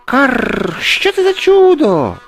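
A man's voice: on the high side, wildly swooping pitch, slow, soft background music, pitched above the performer's own voice, exaggerated voice. A man voicing a cranky, hungry female crow who squawks and scolds in a children's storybook tale. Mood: startled.

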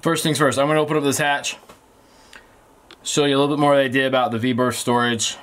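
A man's voice: medium pitch, flat delivery, quick pace, a bit bright. A man in his forties chatting casually through a boat restoration project, easygoing and self-deprecating, with plenty of ums, talking close to the camera in a small sailboat cabin. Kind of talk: casual how-to vlog talk. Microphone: camera close to the face.

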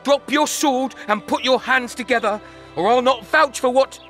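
An actor playing a smug, menacing bounty hunter in a video game, with a mocking, theatrical delivery. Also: male voice, extremely high pitch, moderate pace, a bit bright, soft background music. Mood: threatening. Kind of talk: video game character acting.

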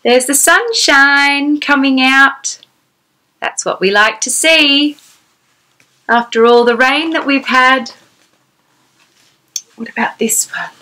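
A woman's voice: high-pitched, slow pace, pauses often, bright, forceful tone. A woman in her thirties presenting a children's storytime, reading aloud in an exclamatory, sing-song way and putting questions to the young listener. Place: small decorated room indoors.

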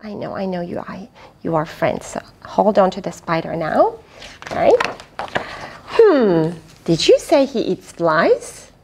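Slow, animated woman storyteller. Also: on the low side, noisy background. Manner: animated, expressive, addressing small children. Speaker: children's storyteller. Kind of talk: read-aloud storytelling for young children. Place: small fabric play tent indoors.

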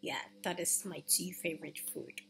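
A young woman with a Ghanaian accent talks casually to her webcam in a small room, chatty and unhurried, with soft asides. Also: fairly low pitch, steady tone, creaky, a little hiss or hum. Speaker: YouTuber. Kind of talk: casual chat to camera.

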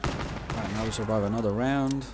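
A man's voice: lowish voice, steady tone, a little fast, background noise. A man giving casual gameplay commentary, muttering to himself as he plays. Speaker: gaming video creator. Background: video game music and sounds.

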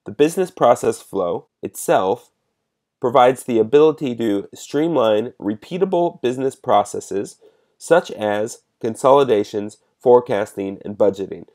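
A man giving a measured, explanatory step-by-step narration as a software demonstrator. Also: mid-range voice, expressive.

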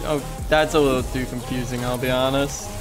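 A woman's voice: very deep voice, fairly even intonation, brisk, music in the background. A young woman gamer in casual chat over a game, with sweary, joking banter.

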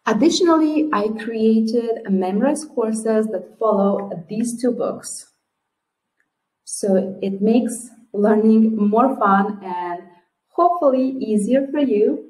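Slow, careful Serbian-accented woman teacher. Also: a little high, darkish tone. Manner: slow and clear, with careful word-by-word modelling. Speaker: language teacher. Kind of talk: teacher's introduction video. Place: small room at home, classroom posters behind. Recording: echoey room.

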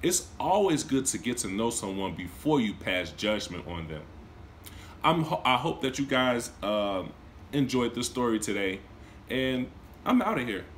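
Man in his thirties, a teacher reading a picture book aloud with lots of swing in his voice, mid pitch, recorded on a phone in a small room. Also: a little slow, a little hiss or hum. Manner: expressive storytelling with lively swings in pitch and volume; warm, encouraging talk to young children. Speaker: kindergarten teacher. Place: small indoor room, seated on a couch.

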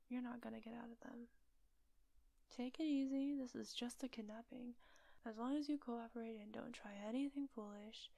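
A teasing female kidnapper acted by a woman in an intimate audio roleplay. Her voice is fairly high but mellow, with a gravelly creak under the soft whisper. She sounds smug and coaxing, calmly in control. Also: no room sound. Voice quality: soft, breathy, often whispery. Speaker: voice actor.

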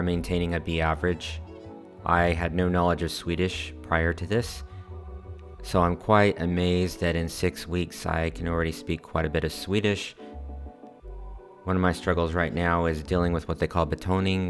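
A man from the United States narrates his own video in a calm, earnest way, his voice low and held fairly level. He speaks over soft background music. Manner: calm, earnest and friendly, uses y'all. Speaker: vlogger. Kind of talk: voice-over narration over scenic footage.

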